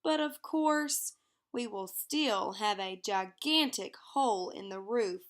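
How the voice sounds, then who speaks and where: A woman voicing a worrying, skeptical mother in a children's storybook, wry and gently exasperated. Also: mid-range voice, slow, close to an everyday voice. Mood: wry warning. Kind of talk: children's storybook character reading.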